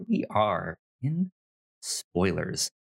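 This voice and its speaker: A man's voice: deep voice, big pitch swings, very slow, gravelly, over loud music. A man hosting a podcast, chatting in an upbeat, informal way. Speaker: podcast host. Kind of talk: casual podcast chat and housekeeping plugs.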